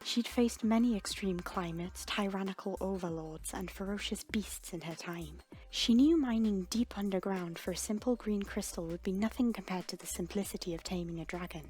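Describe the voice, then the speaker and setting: A woman's voice: medium pitch, moderate pace, a little mellow. A woman reading fan fiction aloud as a scripted, dramatic story narrator. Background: background music.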